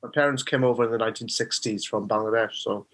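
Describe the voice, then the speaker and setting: A man's voice: on the low side, steady tone, fast, fairly bright tone. A middle-aged man with a Welsh accent, a guest mind coach speaking thoughtfully with frequent hesitations. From Wales. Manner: hesitant, thoughtful, with many fillers. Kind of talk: conversational interview.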